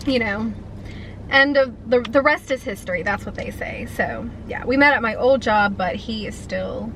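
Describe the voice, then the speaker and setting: A woman's voice: on the high side, normal speed, a bit bright, slight background noise, low-quality recording. A woman in her twenties chatting casually and eagerly while eating on camera, answering viewer questions. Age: 20s. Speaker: food vlogger. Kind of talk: casual mukbang chat.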